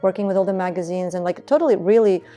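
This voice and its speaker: A woman's voice: mid-range voice, fast talker, mellow, faint music behind. A woman, speaking earnestly and reflectively as a business founder in a documentary interview. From Estonia. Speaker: entrepreneur, beauty business founder.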